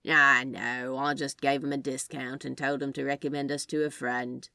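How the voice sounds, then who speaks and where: A woman's voice: extremely low pitch, flat delivery, moderate pace, creaky, sharp tone, no room sound, studio quality, full character voice. A woman voicing a sly, laid-back male office worker who plays at being a spy, with a drawling, hesitant, conspiratorial manner. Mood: sardonic.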